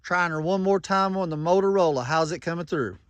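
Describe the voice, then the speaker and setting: Higher-voiced man, casual and folksy. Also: a little fast, dry recording. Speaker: vlogger testing walkie-talkies. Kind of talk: casual running commentary and radio check calls.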